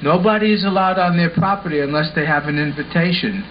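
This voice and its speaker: A man's voice: on the high side, fast talker, close to an everyday voice. A man voicing a polite, helpful boy with an earnest, simple way of explaining, in an amateur puppet show. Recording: muffled home recording with hiss.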